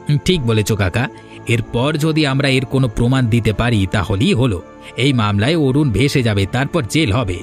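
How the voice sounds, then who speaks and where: A man's voice: mid-range voice, animated, fairly quick, close to an everyday voice. Man playing a grumbling, treacherous villager, music behind. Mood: conspiring. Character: resentful, treacherous young village man, hesitant plotter. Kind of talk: Bengali cartoon voice acting.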